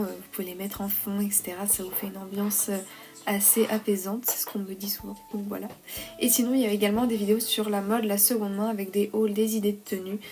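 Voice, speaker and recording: A young woman with a slight head cold, talking softly and hesitantly in a calm, cosy vlog voice-over. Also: medium pitch. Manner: soft, hesitant, many "euh" fillers. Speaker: vlogger, freelance illustrator.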